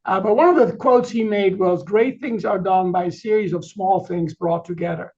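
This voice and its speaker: A middle-aged man from the Netherlands with a Dutch accent, giving a webinar presentation in a halting way, full of fillers and restarts. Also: high voice, darkish tone, highs cut off. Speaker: chief marketing officer presenting.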